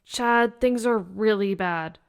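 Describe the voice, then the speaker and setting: A woman plays a frightened young gamer girl in an audio drama. She talks slowly in casual, sweary slang, and the recording has clear noise and clicks. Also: medium pitch. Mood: worried.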